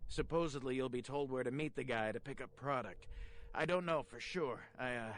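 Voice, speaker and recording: An actor playing a sleazy, cagey porn shop owner in a video game, with brash, slangy street talk. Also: male voice, mid-range voice, normal speed, a bit bright, slight background noise, natural voice. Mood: cagey, uneasy.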